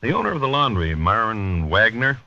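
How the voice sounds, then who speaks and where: An American actor playing a terse, skeptical homicide captain in a 1950s radio drama, gruff and offhand. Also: male voice, lowish voice, big pitch swings, normal speed, pushed and bright, exaggerated voice. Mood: terse, probing. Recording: dull vintage 1950s radio recording.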